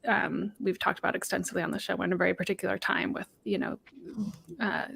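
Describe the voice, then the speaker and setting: A woman hosting a casual tech show, upbeat and quick to affirm her guests. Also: lowish voice, fairly even intonation, gravelly, fairly bright tone, a little hiss or hum. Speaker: podcast host. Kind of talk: casual chat.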